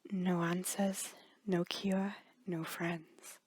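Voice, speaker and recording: A woman's voice: lowish voice, level tone, very slow, a little mellow, husky, no echo. A woman narrator reading a scripted story in a dramatic storytelling style.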